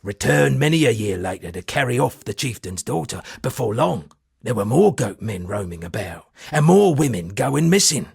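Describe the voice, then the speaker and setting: Game role: roguish shipwrecked captain-poet. Very gravelly, husky, wry, flowery and theatrical, with lively melodic delivery. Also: male voice, mid-range voice, normal speed, no room sound, clean studio sound, full character voice. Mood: wry storytelling. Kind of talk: video game character dialogue.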